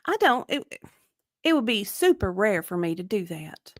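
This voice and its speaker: A woman's voice: medium pitch, big pitch swings, moderate pace, no echo, clean studio sound, lightly acted. A woman acting the part of an evasive, defensive victim advocate under deposition, hesitant and clipped in a dramatic reading.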